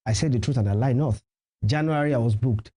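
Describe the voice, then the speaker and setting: A man's voice: medium pitch, fairly quick, dark tone, breathy edge. A Nigerian man whose native language is Yoruba, a professional MC giving emphatic advice in a conversational TV interview and repeating key phrases. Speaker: professional MC and event host, interview guest.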